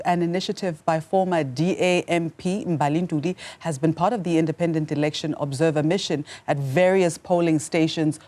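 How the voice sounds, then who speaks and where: Low-voiced woman news anchor. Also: a little mellow. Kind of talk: studio news interview.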